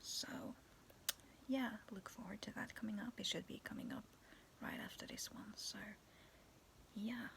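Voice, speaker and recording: A young woman vlogging in a soft, raspy whisper, talking to her viewers to camera and telling a spooky story, giggling now and then. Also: mid-range voice, fairly even intonation, measured pace, pauses often. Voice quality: raspy, cracking voice. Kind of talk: whispered casual vlog with a ghost story. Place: dim bedroom with fairy lights. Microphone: phone or webcam at arm's length.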